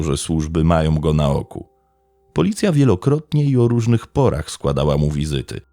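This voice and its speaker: A man narrating a scripted true crime story as a podcast narrator, in a measured, formal storytelling manner. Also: low-pitched, vocal fry, mellow, no room sound.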